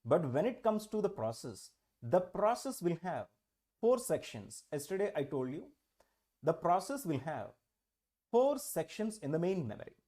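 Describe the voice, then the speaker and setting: Guy teaching online, fairly high voice, keeps asking questions and repeating himself. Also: big pitch swings, normal speed, mellow. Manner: repetitive, rhetorical questions. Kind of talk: online exam-prep lecture.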